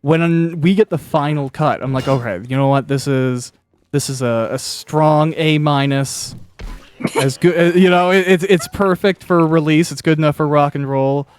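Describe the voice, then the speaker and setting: A man's voice: on the high side, normal speed, clean tone. A man hosting a casual, conversational interview while playing a video game, thinking aloud as he talks. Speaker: host, interviewer and producer of an audio drama.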